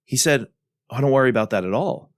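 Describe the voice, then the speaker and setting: A man's voice: medium pitch, animated, fast, dry recording, clean studio sound. A man co-hosting a podcast in a casual, enthusiastic and emphatic discussion style. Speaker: podcast co-host.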